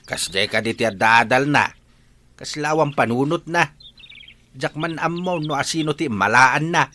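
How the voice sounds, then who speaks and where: Radio drama role: gruff older Ilocano father played by an actor, blunt and complaining, sharp mid-pitched voice. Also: normal speed, natural voice. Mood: grumbling, critical. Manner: grumbling, blunt. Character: gruff, grumbling older rural father and husband. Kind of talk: Filipino radio drama acting.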